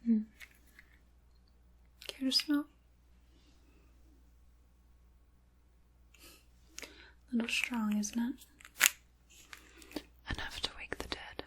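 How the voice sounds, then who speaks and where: A woman playing a sly, chaos-loving dark witch in an ASMR roleplay, whispering breathily and speaking softly with theatrical, menacing politeness. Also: fairly high pitch, slow pace. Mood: intimate, conspiratorial. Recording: clearly audible background noise.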